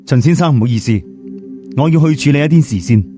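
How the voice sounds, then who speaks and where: A man with a Cantonese accent voicing a courteous, composed male jade expert in an audio story, polite and measured. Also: mid-range voice, warm tone, soft background music, close to an everyday voice. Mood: calm, polite.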